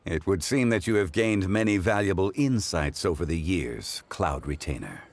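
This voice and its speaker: A man voicing an ancient, learned gentleman who speaks in a measured, formal, philosophical way. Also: sits low, lively intonation, a little hiss or hum. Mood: composed.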